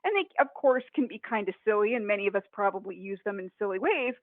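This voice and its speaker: A woman in her forties from Northern Ohio giving a conference webinar talk, slightly hoarse, chatty with many fillers and restarts. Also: medium pitch, animated, quick pace, no room sound. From Northern Ohio, USA. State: hoarse, coughing. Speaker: conference presenter. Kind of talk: webinar presentation. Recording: dry, phone-like audio.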